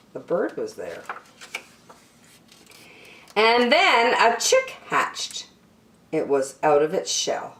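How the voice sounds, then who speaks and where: Woman doing storytime for kids, super expressive up and down, takes her time, bit echoey. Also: lowish voice. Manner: expressive, drawn-out emphasis on key words. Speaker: children's story reader. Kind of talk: animated picture book read-aloud.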